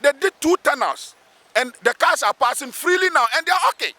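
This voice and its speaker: A man's voice: very high voice, lively intonation, brisk, bright, forceful tone. A man with a Ghanaian accent speaking emphatically as a local assemblyman in an outdoor interview, repeating phrases for weight. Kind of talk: spoken interview, pleading advocacy. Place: outdoors beside a road underpass.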